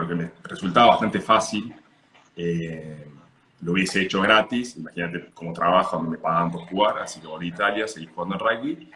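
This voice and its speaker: A man in his thirties, born in Italy and raised partly in Argentina, speaking with an Argentine accent as a guest, relaxed and unhurried. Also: low voice. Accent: Argentine Spanish. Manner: relaxed, just woke up, unhurried with pauses. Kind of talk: casual video-call interview. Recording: echoey room.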